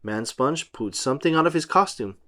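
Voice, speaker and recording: A man reading a storybook aloud for children in an animated style. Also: mid-range voice, fairly quick.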